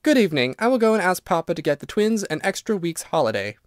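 Casting: male reader as a pert, kind-hearted young girl, pitched high, storybook style. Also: big pitch swings, quick pace, no echo, studio quality. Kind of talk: storybook narration character.

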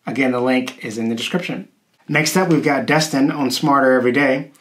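A man's voice: medium pitch, brisk, a little hiss or hum. A man in his thirties hosting a video, explaining things casually to his viewers while seated in a small room. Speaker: YouTube host. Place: small indoor room, seated at a wooden table.

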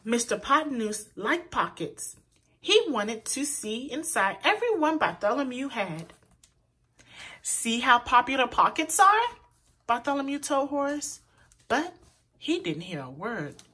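A woman reading a children's picture book aloud in an expressive, deliberate way, as a storyteller for young listeners. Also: a little high, husky. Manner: pauses to point out words to young listeners; animated, deliberate delivery for children.

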